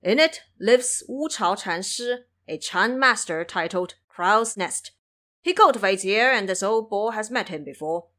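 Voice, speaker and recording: A woman voicing a brash, grumbling male boar monster turned monk in an audio drama, loud and swaggering. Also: mid-range voice, animated, measured pace. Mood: boastful.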